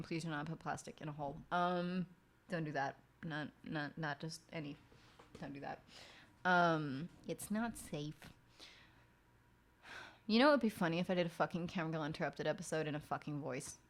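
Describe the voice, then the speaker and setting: A woman's voice: low-pitched, fairly even intonation, moderate pace. A woman streamer and podcast host, chatting casually and rambling with lots of fillers and swearing as she works late at night. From outside the United States. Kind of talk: casual late-night chat while working.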